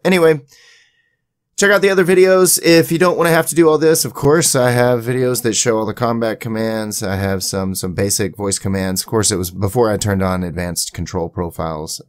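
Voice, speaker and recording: A man hosting an informal screen-recording software tutorial, rambling a little as he thinks aloud. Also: fairly low pitch, brisk, no echo, studio quality. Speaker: tutorial host.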